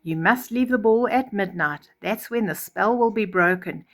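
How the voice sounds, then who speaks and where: A woman voicing a kindly, bossy fairy godmother who gives brisk instructions in a storybook reading. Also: mid-range voice, fairly bright tone, natural voice. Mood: warning.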